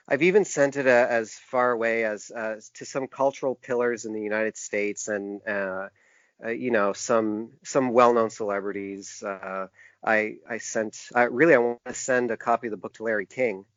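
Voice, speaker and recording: Halting middle-aged man in a dry, muffled recording. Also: lowish voice, fairly even intonation, dry recording. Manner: hesitant, halting, self-reflective. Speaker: podcast guest, author and small publisher. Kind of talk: casual interview conversation.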